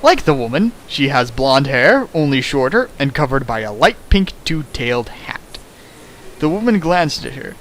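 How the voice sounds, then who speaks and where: A man's voice: mid-range voice, very animated, moderate pace, a bit bright, low-quality recording. A man doing a mocking dramatic reading of fan fiction with sarcastic, hammy asides, recorded at home with hiss.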